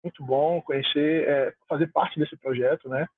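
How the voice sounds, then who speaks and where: A man's voice: fairly high pitch, fairly even intonation, normal speed, no room sound, phone-quality sound. A man from Salvador, a music producer talking in an online panel, heartfelt and rambling with many fillers. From Salvador, Bahia, Brazil. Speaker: music producer, debate guest.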